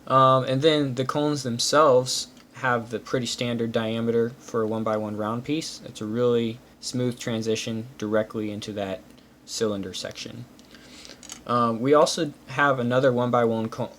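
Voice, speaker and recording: A man's voice: mid-range voice, moderate pace. A man hosting a casual hands-on walkthrough, explaining small details methodically. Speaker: host reviewing building-brick pieces. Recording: clear steady background noise.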